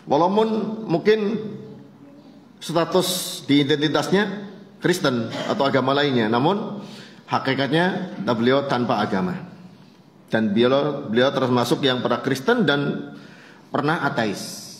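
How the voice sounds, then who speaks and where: An Indonesian man preaches in a big, reverberant space, his mid-pitched voice ringing with echo. He explains things conversationally, like a teacher telling an anecdote for its lesson, and drops in short tags to check that listeners follow. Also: expressive, unhurried, long pauses. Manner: conversational, explanatory, often punctuating with short tag words. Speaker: Islamic preacher. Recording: echoey hall with long reverb.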